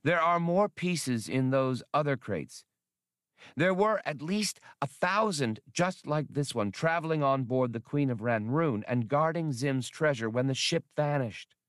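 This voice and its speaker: Scholarly alien creature, male narrator acting: raised pitch, dreamy, polite, earnest storybook delivery. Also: lively intonation, unhurried, dry recording, studio quality, close to an everyday voice. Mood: eager, explanatory. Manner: earnest, formal, explanatory.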